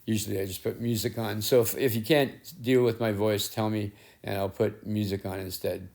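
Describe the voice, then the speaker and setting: Middle-aged man, rough raspy voice, lowish pitch, loose rambling artist narration, self-deprecating. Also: little pitch movement, normal speed, a little mellow. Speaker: collage artist and painter. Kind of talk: casual narration while working. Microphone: head-mounted action camera.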